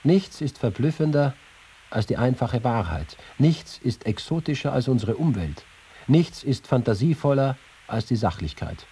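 Witty, combative writer-reporter for radio feature; mellow, very raspy delivery. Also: male voice, medium pitch, expressive, normal speed. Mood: emphatic. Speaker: actor reading letters and writings. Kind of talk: dramatized reading in a radio feature.

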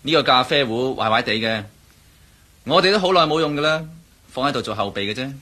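Sly henchman, bright husky voice. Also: medium pitch, lively intonation, brisk. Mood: glib, evasive. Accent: Hong Kong Cantonese. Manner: sarcastic, glib. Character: sly, cheeky male henchman, mocking and evasive. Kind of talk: Cantonese radio drama acting.

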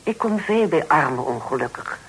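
An actress playing a pious, stern but charitable older aunt in a radio play, speaking in a preachy, solemn manner. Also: female voice, low-pitched, expressive, normal speed, slight background noise, low-quality recording. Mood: kindly insistent. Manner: preachy, scripture-quoting, solemn exclamations. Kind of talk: Dutch radio play acting. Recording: old radio play recording.

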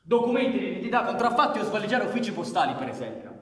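A man's voice: high-pitched, sing-song, very fast, crowd noise. A man acting an earnest, courteous but firm police commissioner in a stage play, speaking formally and persuasively. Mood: insistent. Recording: echoey theatre stage with faint noise.

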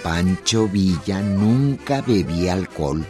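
A man's voice: sits low, lively intonation, slow pace, music in the background. A man narrating a radio drama from a script.

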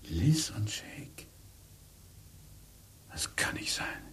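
An actor in a radio play playing a shaken cable technician who mutters haltingly to himself. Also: male voice, a bit bright, in a whisper. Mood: stunned disbelief.

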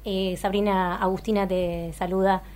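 A woman's voice: medium pitch, flat delivery, moderate pace, a little mellow. A woman with an Argentine accent interviewing on the radio in hesitant, broken phrases. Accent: Argentine, Rioplatense.

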